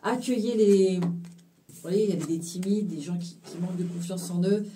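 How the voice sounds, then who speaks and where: A woman giving a casual spiritual tarot reading to her viewers, halting and full of fillers and restarts, now and then slipping in English phrases. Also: lowish voice, steady tone, distant mic, noisy background. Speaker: tarot card reader.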